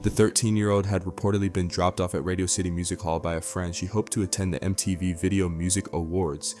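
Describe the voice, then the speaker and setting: A man reading a scripted celebrity news narration in a measured, dramatic way. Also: sits low, level tone, darkish tone. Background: background music.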